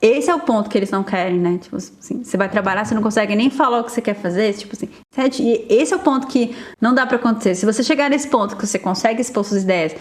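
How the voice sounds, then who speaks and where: A woman's voice: on the high side, very fast, hardly any pauses. A Brazilian woman talking casually as a podcast guest, chatty and full of fillers, often acting out what others said. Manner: chatty, many fillers, quotes others in lively asides. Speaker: guest software engineer.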